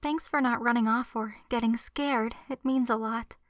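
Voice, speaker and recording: Succubus best friend for ASMR roleplay, female performer: high voice, soft and hesitant, earnest, very dry close-mic sound. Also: steady tone, moderate pace, dry recording, natural voice. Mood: earnest, confiding. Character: gentle young adult succubus woman, shy lovestruck best friend. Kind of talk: ASMR roleplay, addressed to the listener. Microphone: close microphone in a dry space.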